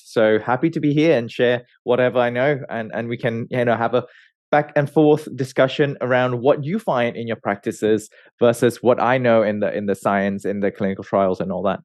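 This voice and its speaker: A man in his thirties from Malaysia, a research scientist telling his career story in a relaxed way, with many fillers and restarts. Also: mid-range voice, no room sound. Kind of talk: conversational interview answers.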